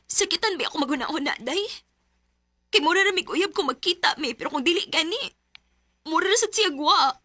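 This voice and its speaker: A young Cebuano woman in a Bisaya radio drama. Her voice is high-pitched and bright. She comes across as a devoted, needy girlfriend and daughter, speaking in everyday student talk. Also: animated, brisk, no room sound, exaggerated voice. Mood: upset, complaining. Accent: Cebuano Filipino. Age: young adult.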